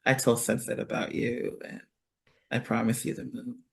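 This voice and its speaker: A woman's voice: rumbling low, flat delivery, moderate pace, gravelly. A woman in casual chat, talking bluntly and swearing freely. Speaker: podcast guest.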